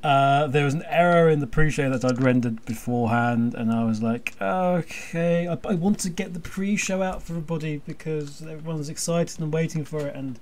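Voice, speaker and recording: A man's voice: on the high side, moderate pace, hardly any pauses, slight background noise. A man hosting a podcast in casual chat, with a wry, self-deprecating, grumbling manner. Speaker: podcast host.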